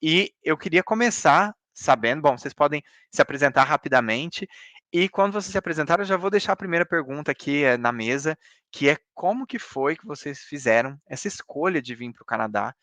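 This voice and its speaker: A man's voice: on the high side, animated, fairly quick, a bit bright, no echo. A man with a Brazilian Portuguese accent moderating an informal online panel as host.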